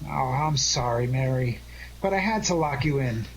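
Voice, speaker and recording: A man's voice: mid-range voice, animated, moderate pace, very noisy, close to an everyday voice. A man playing a glib, wisecracking amateur sleuth in a 1940s-style radio detective drama, placating the others. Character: wisecracking, fast-thinking male amateur sleuth.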